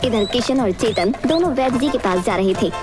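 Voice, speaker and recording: A woman's voice: fairly high pitch, expressive, fairly quick, music in the background. A woman narrating a scripted children's fairy tale.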